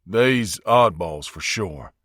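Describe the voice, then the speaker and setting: A male narrator plays a folksy countryman with a rural American drawl. He is unhurried and plain-spoken, a calm leader in an audiobook tale, and his pitch moves freely in a close, dry recording. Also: no echo, exaggerated voice. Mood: wry, folksy.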